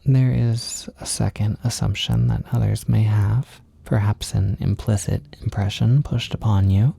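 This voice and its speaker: A man giving an intimate, informal comfort monologue, speaking in a gentle, reassuring but hesitant and self-deprecating way. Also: fairly low pitch, steady tone, measured pace, creaky, slightly rough, no room sound. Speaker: audio creator giving comfort and validation.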